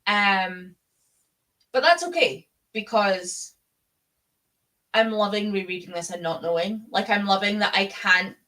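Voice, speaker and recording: A woman's voice: medium pitch, measured pace, pauses often, sharp tone. A woman with a Scottish accent chatting casually and fannishly about books as host of a live readalong, with the odd swear word. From Scotland. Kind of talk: casual book chat.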